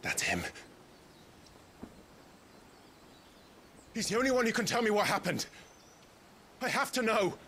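A man's voice: high voice, huge pitch swings, brisk, pushed and bright, a little hiss or hum, full character voice. A man playing a brooding, driven young swordsman in a video game, with a British accent and a grave, terse, intense way of speaking. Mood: urgent resolve. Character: brooding, driven young swordsman and sworn shield of his brother. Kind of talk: video game cutscene acting.

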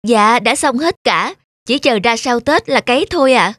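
A man's voice: very high-pitched, expressive, normal speed, sharp tone, no echo, clean studio sound, exaggerated voice. A man playing a meek, kind young farm servant in a cartoon folk tale, humble and hesitant. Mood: obedient. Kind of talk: animated folk tale acting. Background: music.

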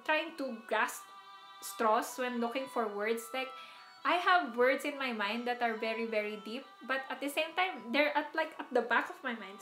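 A woman's voice: a little high, a bit bright. Chatty Filipino woman streamer, roomy sound. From Philippines. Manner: chatty, laughs often, repeats and restarts words. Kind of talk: casual chat to live viewers. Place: small room indoors.

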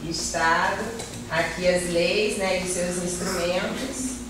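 A Brazilian woman lectures informally from across a very echoey classroom, picked up by a distant camera over clear background noise. She speaks hesitantly and modestly, often repeating small words as she looks for the right phrase. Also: on the low side, slow. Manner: hesitant, self-deprecating, repeats words while searching. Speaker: guest lecturer, environmental civil servant. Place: classroom with projector, indoors. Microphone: far camera. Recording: roomy, noisy classroom recorded from far off.

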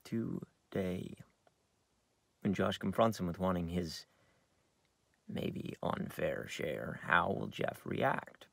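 An American actor in his thirties playing a bitter, wounded screenwriter in a theatrical, halting dramatic monologue. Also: low voice, creaky, no echo, full character voice. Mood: wounded, brooding. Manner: theatrical, halting, shifting from hushed to outbursts. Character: bitter, wounded screenwriter brooding over his twin brother. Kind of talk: dramatic monologue in a staged reading, on book. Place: inside a parked car.

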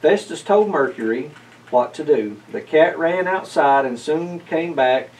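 A man reads a children's storybook at an unhurried pace. His voice sits slightly high and is picked up from a little way off. His delivery is measured and expressive. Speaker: story reader. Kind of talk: children's storybook read-aloud. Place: indoors, library corner with bookshelves. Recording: picked up from a distance.

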